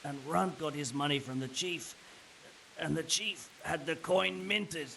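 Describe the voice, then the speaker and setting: An actor playing a resigned, cynical captured mercenary in a video game drama, wry and matter-of-fact, with a gravelly voice. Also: male voice, fairly high pitch, a little slow, bright, forceful tone, slight background noise, heavily acted. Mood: cynical, frank.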